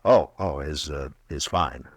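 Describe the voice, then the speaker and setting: Radio western lead: a kindly, hesitant marshal, extremely deep voice, dry close mic. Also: male voice, a little slow, breathy edge, no room sound, heavily acted. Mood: hesitant, gentle. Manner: stammering, hesitant, gentle. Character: weary, kindly frontier marshal, grown man. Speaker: old-time radio drama actor and in-story narrator. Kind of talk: 1950s radio western acting and first-person narration.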